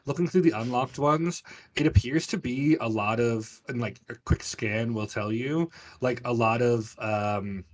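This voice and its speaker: A man's voice: mid-range voice, a little slow. Game master, male, slightly distant mic. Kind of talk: narrating an online tabletop fantasy game.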